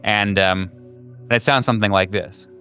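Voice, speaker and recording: A man with a fairly low voice runs a horror roleplaying game over faint music. He narrates conversationally, feeling his way through detailed descriptions as game master. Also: fairly even intonation, moderate pace, sharp tone. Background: background music.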